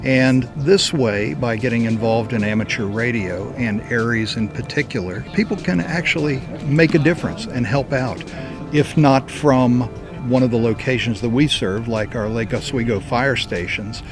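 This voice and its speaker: A man's voice: medium pitch, moderate pace, over music. A man speaking as a volunteer radio group member, explaining things in an interview style. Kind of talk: interview explanation for a community profile.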